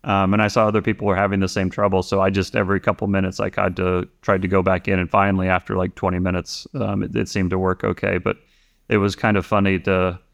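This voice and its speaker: Deep-voiced man chatting on a podcast. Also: level tone, fast talker, creaky. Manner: rambling, many restarts and fillers. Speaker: podcast guest. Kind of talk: casual conversation.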